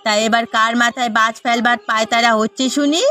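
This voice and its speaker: A woman's voice: a little high, fairly even intonation, fairly quick, pushed and bright, soft background music. A woman voicing a nagging middle-aged village wife in a cartoon, sarcastic and scolding. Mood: mocking. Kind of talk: cartoon dialogue.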